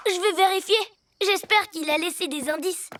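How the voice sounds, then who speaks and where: A woman voicing an excitable, naive six-year-old boy superhero in a French cartoon, with boyish exclamations. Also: very high-pitched, moderate pace, music in the background. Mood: eager. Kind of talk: French cartoon dub acting.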